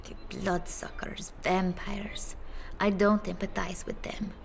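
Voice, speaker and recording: An actress playing a haunted, fragile village woman in a video game, halting and somber, often dropping to a breathy near-whisper. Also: mid-range voice, level tone. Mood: cold, subdued. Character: haunted, fragile young village woman who senses the dead. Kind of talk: video game character dialogue.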